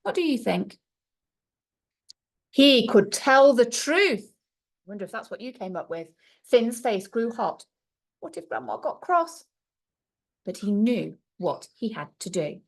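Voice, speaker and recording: Slow, expressive woman reading a storybook. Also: a little high, vocal fry. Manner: expressive, with questions and asides to young listeners. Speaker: primary school teacher reading aloud. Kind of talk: storybook read-aloud for young children.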